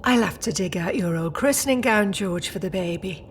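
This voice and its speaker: A woman's voice: medium pitch, expressive, moderate pace, slight background noise, lightly acted. Woman acting a prim, proud old-fashioned British matriarch. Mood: fond. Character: old-fashioned proud British grandmother-to-be, stern yet doting. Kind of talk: audio drama acting.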